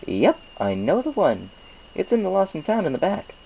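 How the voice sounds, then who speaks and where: A chatty cartoon pony baker as a man narrator acts him, pitched high and cheerful. He talks like an eager, folksy shopkeeper. Faint noise sits behind the voice. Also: huge pitch swings, moderate pace, creaky, mellow, heavily acted, poor audio. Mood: chatty, helpful.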